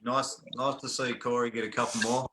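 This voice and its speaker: A man's voice: medium pitch, level tone, fairly quick, background noise. A man hosting live fly-fishing coverage in a casual way, reading out viewer comments and chatting with his co-commentator. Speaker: host.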